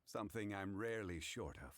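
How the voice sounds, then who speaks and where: An actor playing a calm, scholarly gentleman in a video game, measured, formal and dignified. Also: on the low side, lightly acted. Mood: composed, courteous. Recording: music behind the voice.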